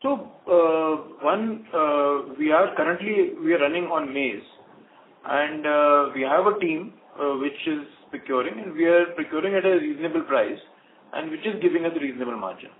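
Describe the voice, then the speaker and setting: A man's voice: fairly high pitch, normal speed. Echoey phone-line male executive. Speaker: company executive answering analysts. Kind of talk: earnings call question-and-answer. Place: conference phone call. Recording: echoey, narrowband phone line, faint noise.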